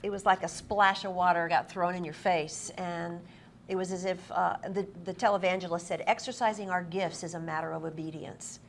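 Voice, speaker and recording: Low-voiced woman interviewing amid clear noise. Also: steady tone, moderate pace. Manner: effusive, affirming. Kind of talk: on-stage interview with read-aloud book passages. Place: busy convention event room. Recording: clearly noisy live event room recording.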